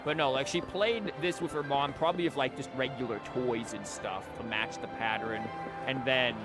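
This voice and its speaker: A man's voice: mid-range voice, moderate pace. A man doing casual, rambling gameplay commentary as a streamer, with joking asides. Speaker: game streamer. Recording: loud music behind the voice. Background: game music.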